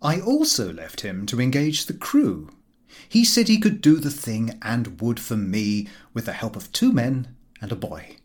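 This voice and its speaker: Casting: male audiobook narrator, very animated intonation, dry comic storytelling, mid-range pitch. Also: measured pace. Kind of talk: scripted narration of a comic novel.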